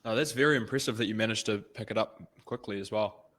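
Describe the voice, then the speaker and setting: A man's voice: fairly low pitch, fast talker, a bit bright. A man hosting a casual interview over a video call, upbeat and affirming as he moves through his questions. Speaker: interview host.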